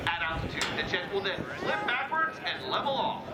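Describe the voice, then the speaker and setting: A man announcing an air show in a showman's public address style, with dramatic pauses. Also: sits high, sing-song. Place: outdoor airfield, heard over loudspeakers. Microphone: announcer on PA, picked up by a distant camcorder. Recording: echoey PA from far off with loud jet noise. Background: jet engine roar and crowd.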